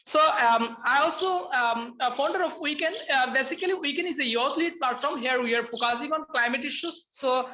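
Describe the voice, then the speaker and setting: A young woman with a Bangladeshi accent, Bengali her first language, speaks on a webinar. Her voice sits high, and she halts often, filling gaps with uh and um. The call audio sounds muffled and lo-fi. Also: a little fast, few pauses, pushed and bright, slight background noise. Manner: hesitant, many uh and um fillers, restarts. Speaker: webinar panelist. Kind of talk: halting spoken remarks in a webinar. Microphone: video call.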